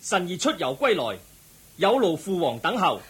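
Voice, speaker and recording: An actor playing an earnest, courteous young prince in a Cantonese radio drama, with a Hong Kong accent. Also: male voice, high-pitched, sing-song, moderate pace, fairly bright tone, husky, heavily acted. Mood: respectful.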